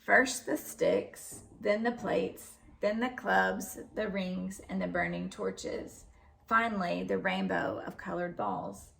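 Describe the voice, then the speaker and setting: A woman reading a children's story aloud in an expressive narrating style. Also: medium pitch, slow pace, creaky, low-quality recording. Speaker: storybook reader.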